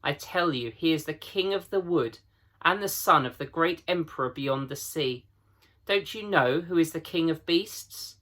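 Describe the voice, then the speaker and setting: A man voicing a gruff, proud old talking beaver in a children's storybook, folksy and emphatic. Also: a little high, measured pace, pushed and bright, voice pushed up.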